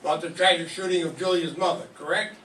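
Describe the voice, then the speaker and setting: An older man voicing a suave, condescending cannery boss with a bright, forceful, rather high voice. He is heard from afar in a roomy classroom with faint hiss. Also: fairly even intonation, quick pace, recorded from a distance, natural voice. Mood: smug. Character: smooth, smug middle-aged male cannery boss. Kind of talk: 1940s radio acting.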